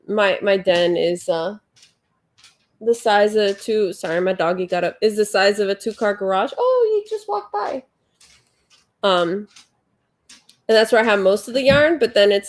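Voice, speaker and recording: A congested woman in her forties hosting a casual livestream at home, rambling and chatty as she reads viewer comments. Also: medium pitch. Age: 40s. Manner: chatty, rambling, with frequent fillers. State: congested, sniffling. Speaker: livestream host. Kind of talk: casual live chat, reading viewer comments.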